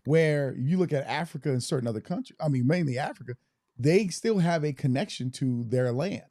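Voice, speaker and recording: A man's voice: on the high side, expressive, fairly quick, mellow, no room sound, clean studio sound. A man from Germany co-hosting a podcast, speaking in a reflective, storytelling way. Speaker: podcast co-host. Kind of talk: casual discussion.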